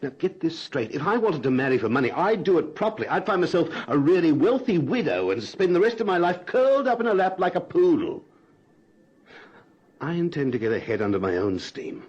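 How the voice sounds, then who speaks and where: An actor playing a direct, talkative, self-assured suitor in a radio drama, teasing and persistent. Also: male voice, on the high side, sing-song, a little fast, darkish tone, husky. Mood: indignant. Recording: dull lo-fi old radio recording.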